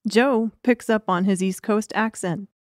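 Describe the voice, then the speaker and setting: A woman's voice: medium pitch, unhurried, dry recording, studio quality. A woman reading scripted story narration as an audiobook narrator.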